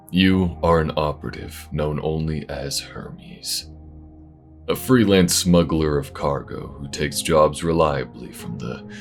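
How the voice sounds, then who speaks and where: A man's voice: very deep voice, vocal fry. A man delivering scripted, dramatic narration to introduce a science fiction audio story.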